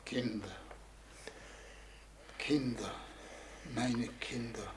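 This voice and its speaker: An actor playing a helpless, forgotten old God, plaintive and lamenting, in a 1940s German radio play. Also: male voice, mid-range voice, steady tone, drawn-out words, slightly rough, exaggerated voice. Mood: weeping. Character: weeping, helpless old God nobody believes in.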